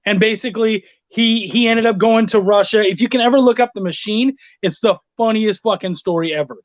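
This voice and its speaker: A middle-aged man with a distinctly high voice chats as a podcast guest. He is chummy and sweary, telling things casually. The sound is dry and a little lo-fi, as on an online call. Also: a little fast, no room sound. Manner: chummy, profane. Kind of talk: casual storytelling chat.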